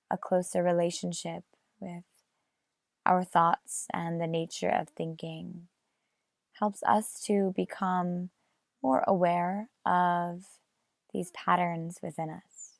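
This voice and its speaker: Female meditation guide, very unhurried with long pauses, plenty of vocal fry. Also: lowish voice, no room sound, clean studio sound. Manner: measured, with long pauses between phrases.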